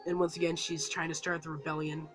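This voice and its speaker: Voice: mid-range voice, level tone, quick pace, soft background music. A reviewer with a cold talking casually through a toy set review, rambling and full of fillers. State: has a cold.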